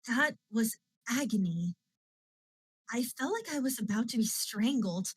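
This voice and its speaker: A woman's voice: a little high, expressive, a little slow, slightly rough, no room sound, clean studio sound. A woman doing a distraught young woman in agony. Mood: pained. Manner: pained, pleading.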